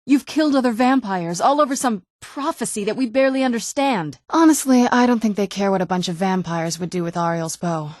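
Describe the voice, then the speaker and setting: A woman's voice: mid-range voice, brisk, hardly any pauses, darkish tone, no room sound, lightly acted. Casting: actress as wry, guarded young vampire noblewoman for a fantasy game; mid-range voice, independent streak, conversational, very dry studio sound. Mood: sharp, defiant. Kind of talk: video game character dialogue.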